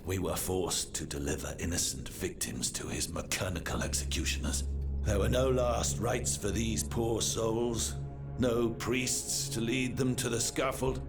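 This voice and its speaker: A man playing a sombre, grieving executioner in a video game, speaking gravely and formally. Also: on the low side. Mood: bitter, haunted. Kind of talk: dramatic video game acting. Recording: music behind the voice.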